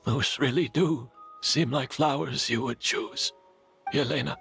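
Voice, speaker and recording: Slow, proud, defeated villain. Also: male voice, medium pitch, vocal fry, fairly bright tone, faint music behind. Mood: wistful wonder. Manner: measured, theatrical, wistful. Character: defeated master perfumer, proud, unrepentant, dying villain. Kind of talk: dramatic video game voice acting.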